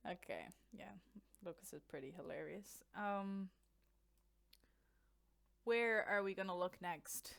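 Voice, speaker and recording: A woman's voice: medium pitch, vocal fry, fairly bright tone. Chatty Irish woman commentating a game. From Ireland. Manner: chatty, laughs often, quick asides. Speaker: event commentator.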